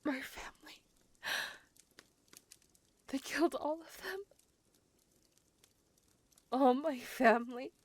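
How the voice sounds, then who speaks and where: A woman voicing an intense, possessive, centuries-old female vampire in a dramatic, breathy ASMR roleplay, often dropping into a whisper. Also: sits high, takes it slowly, long pauses, no room sound. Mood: anguished, disbelieving.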